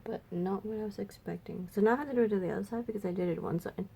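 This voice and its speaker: A woman makeup vlogger chatting casually and thinking aloud, her voice a little raspy and stuffy from a cold. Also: medium pitch, rapid-fire, mellow. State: slightly raspy, congested from a cold. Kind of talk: casual makeup swatch review chat.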